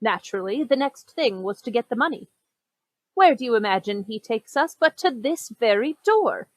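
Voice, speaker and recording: Woman as high-voiced, formal Victorian storyteller. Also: expressive, moderate pace, no echo. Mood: recounting, intrigued. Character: indignant, discreet Victorian gentleman recounting a strange encounter. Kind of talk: gothic radio play acting.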